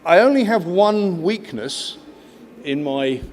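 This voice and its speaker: A man's voice: high voice, expressive, slow pace, darkish tone, a little hiss or hum. A man in his fifties, raised in Kenya, giving a conference speech with many fillers and restarts. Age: 50s. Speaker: guest speaker at an education conference.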